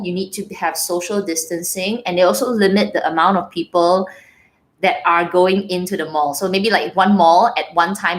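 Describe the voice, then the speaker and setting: A young woman from Malaysia with a Malaysian English accent and bits of Singlish, chatting casually on a livestream, laughing often and reading out viewer comments. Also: mid-range voice. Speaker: livestream host.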